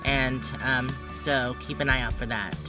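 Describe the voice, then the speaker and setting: A man's voice: mid-range voice, steady tone, measured pace, over music, poor audio. A man chatting casually for a travel vlog in an informal, playful way. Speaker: travel vlogger.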